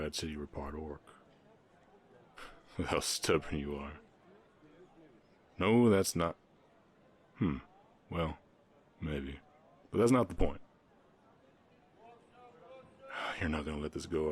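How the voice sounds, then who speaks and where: Orc cowhand played by a man: extremely low-pitched Southern drawl, gentle, reserved, halting, for intimate ASMR romance. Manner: halting, sighing, earnest. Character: gentle, reserved orc ranch hand in love. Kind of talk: intimate ASMR romance roleplay, Western setting.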